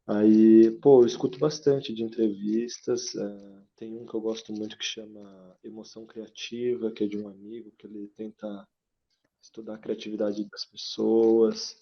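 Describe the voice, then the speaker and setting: A man's voice: fairly low pitch, level tone, moderate pace, creaky. A Brazilian man, a student in a workshop, chatting casually over a muffled online call from home. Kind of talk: casual chat.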